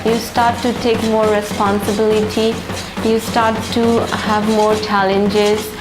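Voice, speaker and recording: A woman with a Nepali accent speaks in a documentary interview. Her medium-pitched voice moves very little in melody, and music plays clearly underneath. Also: level tone, slow pace, rough recording. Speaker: youth group leader.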